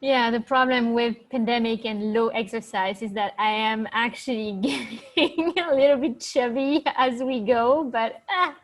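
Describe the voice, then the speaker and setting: A woman's voice: a little high, measured pace, few pauses. A woman with a French accent, chatting casually in an interview, hesitant and full of fillers, laughing easily. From France. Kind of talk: casual video-call interview.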